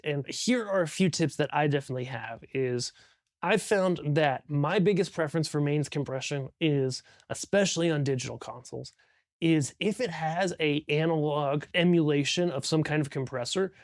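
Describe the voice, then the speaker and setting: A man's voice: on the high side, darkish tone, no room sound, studio quality. A man explaining things as an audio instructor in an informal, conversational tutorial, thinking aloud as he goes.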